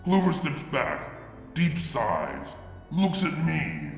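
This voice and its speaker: A man reading a fan fiction story aloud, in a measured, expressive way. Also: a little high. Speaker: fanfic reader. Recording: echoey room with music. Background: music.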